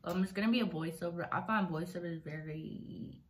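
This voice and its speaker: A young woman talking casually through a makeup tutorial, relaxed and chatty with many fillers, in a small bedroom on a device mic. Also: lowish voice, a little fast, rough recording. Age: young adult. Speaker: beauty vlogger.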